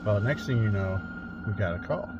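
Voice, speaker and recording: A middle-aged man shares a spooky tale in a casual, conversational style. His voice sits somewhat low. The recording is dull and lo-fi, with music playing loudly along with him. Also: lively intonation, normal speed. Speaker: paranormal investigator telling his own story. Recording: dull lo-fi sound under loud music. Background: loud music.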